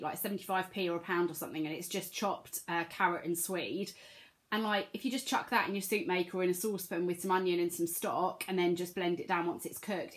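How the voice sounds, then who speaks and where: Chatty woman, slightly croaky with a cold, rambles casually to camera. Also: on the low side. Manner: chatty, many ums, rambling. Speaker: vlogger.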